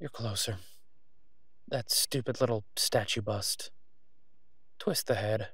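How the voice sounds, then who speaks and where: A man's voice: lowish voice, slow pace, a bit bright, slightly rough, heavily acted. A man playing a sardonic, exasperated but protective vigilante antihero in an audio roleplay, with a weary, clipped manner. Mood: weary, muttering. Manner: sarcastic, weary, clipped, emphatic when irritated. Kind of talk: solo audio roleplay acting, conversational.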